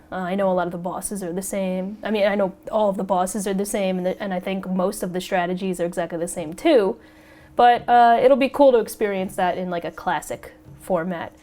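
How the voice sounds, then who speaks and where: A woman hosting a casual podcast chat, a little raspy as she gets over a cold, chatty and excitable and quick to laugh. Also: medium pitch. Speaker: podcast host.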